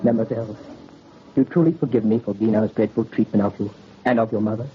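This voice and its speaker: An actor playing an anguished, gentle young nobleman in 1930s radio melodrama, with a theatrical, impassioned delivery and a husky voice. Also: fairly low pitch, fairly even intonation, quick pace, over loud music, lightly acted. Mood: tender, shy. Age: young adult. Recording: muffled old radio recording.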